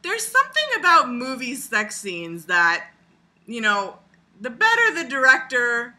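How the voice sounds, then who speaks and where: Very bright-voiced woman vlogging with sarcastic bite. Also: fairly high pitch, measured pace. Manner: animated, sarcastic, crude humor with frequent swearing. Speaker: video blogger. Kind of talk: casual rambling commentary to camera.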